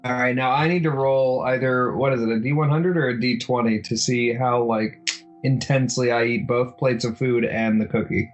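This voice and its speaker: A man's voice: mid-range voice, steady tone, normal speed, lo-fi sound, slight background noise. A man playing a tabletop role-playing game, chatting casually and playfully.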